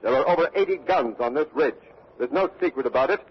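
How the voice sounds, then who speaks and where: A man's voice: a little high, animated, quick pace, husky. An actor playing an earnest male war correspondent, formal and urgent, in a 1940s radio news dramatization. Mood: tense, reporting. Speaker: radio reporter and interviewer. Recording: dull vintage radio broadcast recording.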